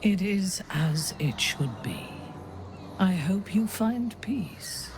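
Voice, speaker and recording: A woman playing a proud, imperious companion in a fantasy game, with a measured, formal, haughty delivery. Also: mid-range voice, very animated, background noise. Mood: subdued. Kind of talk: dramatic game acting.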